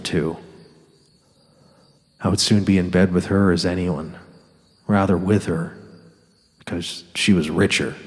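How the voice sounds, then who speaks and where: An actor giving a measured dramatic reading as an ailing, world-weary, cynical writer on safari. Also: male voice, deep voice. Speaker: actor narrating in character. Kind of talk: dramatic first-person literary narration. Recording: long reverb on the voice.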